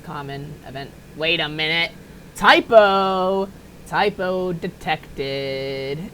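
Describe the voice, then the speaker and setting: A woman giving a casual, unedited software tutorial in a rambling, self-deprecating, apologetic way. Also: sits low, background noise. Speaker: tutorial maker.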